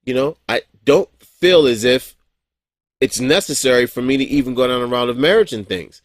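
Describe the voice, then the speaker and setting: A man's voice: mid-range voice, moderate pace, no room sound, studio quality. A man in his forties giving a casual, rambling monologue as a vlogger, blunt and profane, with frequent chuckles and restarts. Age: 40s.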